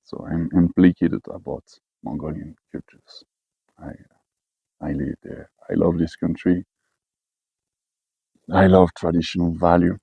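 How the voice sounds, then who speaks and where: A man from French-speaking Switzerland with a Swiss French accent, talking casually in halting English with many fillers and restarts. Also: low-pitched, level tone, creaky, warm tone, husky, dry recording, low-quality recording. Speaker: expatriate herder. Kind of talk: casual personal talk.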